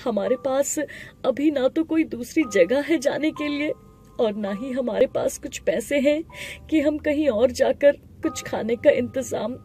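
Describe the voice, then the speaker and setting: A woman voicing a humble, gentle village husband in an animated moral story, earnest and deferential, with music behind. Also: high voice, a little slow, voice pushed up. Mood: humble, pleading. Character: humble poor husband, adult man, pleading and reassuring. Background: background music.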